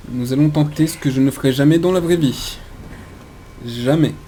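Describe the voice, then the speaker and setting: A man's voice: a little high, normal speed, warm tone, a little hiss or hum. A man hosting a gaming video with casual let's play commentary, chatty and hesitant as he thinks aloud.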